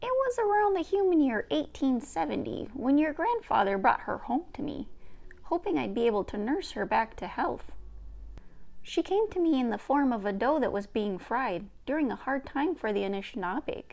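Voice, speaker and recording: Lady doing a old grandma for a kids book, folksy n teasing, kinda high creaky voice. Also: big pitch swings, normal speed, a little mellow, heavily acted. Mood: musing, remembering. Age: older. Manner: folksy, drawling phrases. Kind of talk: children's book read-aloud.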